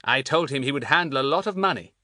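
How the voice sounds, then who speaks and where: A man's voice: medium pitch, lively intonation, fast talker, sharp tone, no room sound, clean studio sound, voice pushed up. A man voicing a clever, boastful schoolboy in a children's audiobook, chatting with self-satisfied relish. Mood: gleeful, boastful.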